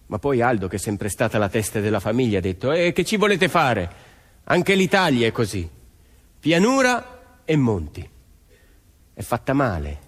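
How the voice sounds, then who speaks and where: A man acting an earnest peasant farmer who tells his family's story in expressive theatrical narration, voicing others as he goes. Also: medium pitch, husky. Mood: earnest, reflective. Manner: expressive, dramatic storytelling, voicing quoted neighbours and relatives. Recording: long reverb, music behind.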